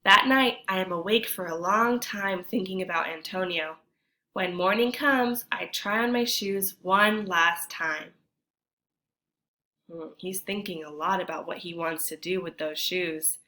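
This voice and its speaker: A woman teacher reading a storybook aloud to children, expressively, pausing to ask her young listeners guiding questions. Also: fairly low pitch, sharp tone.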